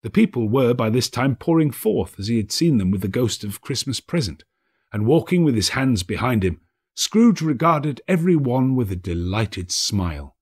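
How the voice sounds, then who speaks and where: A man narrating a classic story as an audiobook, with theatrical, measured storytelling. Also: mid-range voice, big pitch swings, darkish tone, husky. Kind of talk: expressive literary narration.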